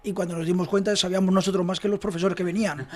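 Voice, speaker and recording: A man's voice: high voice, flat delivery, very fast. A man with a mixed Alicante and Extremaduran Spanish accent, an artisan ice cream maker explaining his craft in an easygoing interview. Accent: Alicante Spanish blended with Extremaduran. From Alicante, Spain.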